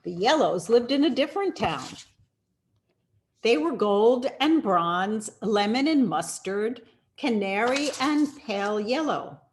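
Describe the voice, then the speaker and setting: A woman reading a children's picture book aloud in an expressive, deliberate storytelling manner with dramatic pauses. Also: on the high side, slight background noise. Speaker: storyteller, rabbi.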